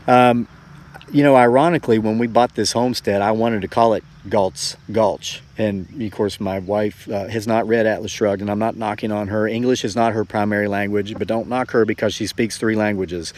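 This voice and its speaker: Low-voiced man in his forties, rambling casually. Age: forty-four. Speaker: homesteading vlogger. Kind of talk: casual reflective monologue to viewers.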